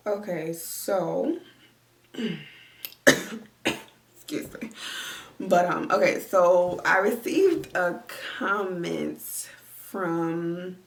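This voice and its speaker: Young woman, congested, slightly low voice, rambling casual chat, at home. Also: vocal fry, sharp tone. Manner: rambling, chatty, with many fillers. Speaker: vlogger. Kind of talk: casual chat reading viewer comments. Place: at home, lying down.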